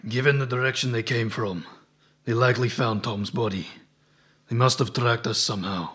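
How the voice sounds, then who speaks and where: A man's voice: medium pitch, fairly even intonation, normal speed, a bit bright, close to an everyday voice. Male actor as calculating henchman, audio drama, villain's underling, middle-range voice. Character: calculating animal-man henchman of a cult leader.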